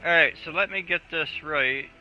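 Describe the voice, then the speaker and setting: A male streamer with a very sharp, forceful voice chats casually and bluntly. The mic sounds dull and band-limited, with music behind him. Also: a little high, moderate pace. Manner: blunt, sweary. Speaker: game streamer. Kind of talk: casual live stream chat.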